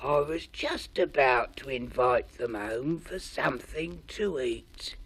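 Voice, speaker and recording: Woman doing a slow lumpy swamp creature. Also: a bass voice, animated, husky, pitched below the performer's own voice, full character voice. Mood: slow musing. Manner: slow, drawn-out, grumbling. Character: big lumpy grey swamp creature, hungry, gruff.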